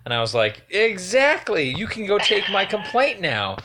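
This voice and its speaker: A man on a phone call, giving a rambling, earnest and halting account of his complaint as a caller. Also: high voice, huge pitch swings, noisy background. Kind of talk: phone conversation, explanatory account.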